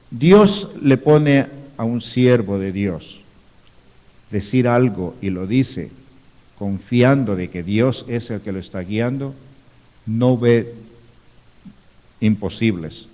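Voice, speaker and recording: A man preaches from the pulpit with a mellow, relaxed tone and a mid-range voice. His words ring with the long reverb of a church hall on a live stream. He teaches patiently, reading scripture and asking the congregation questions along the way. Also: mid-range voice. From Ecuador. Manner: teaching, rhetorical asides, reads scripture aloud. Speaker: pastor. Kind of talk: sermon.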